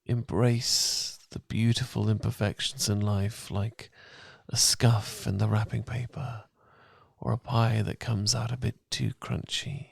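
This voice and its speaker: A British man performing soothing, intimate ASMR as a loving boyfriend, speaking softly and gently, at times near a whisper. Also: fairly low pitch, dry recording. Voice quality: soft, breathy, gravelly, partly whispered. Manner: gentle, calming, intimate, unhurried. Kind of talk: romantic ASMR, soothing guided meditation. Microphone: close, dry ASMR miking.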